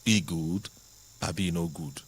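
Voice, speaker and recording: A man with a Nigerian accent, hosting a studio talk show in a chatty, animated way. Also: low-pitched, moderate pace, slightly rough.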